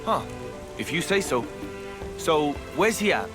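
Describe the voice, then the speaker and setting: A man's voice: fairly high pitch, sing-song, a little slow, over music. A man with a British accent playing a breezy, easygoing young adventurer in a video game. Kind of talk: video game cutscene acting.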